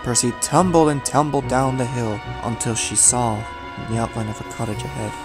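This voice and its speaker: A man reading scripted narration for a horror audio drama, as storyteller, with measured, dramatic emphasis. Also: mid-range voice, steady tone, over music.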